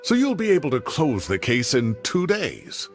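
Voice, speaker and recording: An actor playing an excitable, indignant old retired professor in a video game, with a theatrical, exclamatory delivery. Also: male voice, mid-range voice, normal speed, soft background music. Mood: earnest. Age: older.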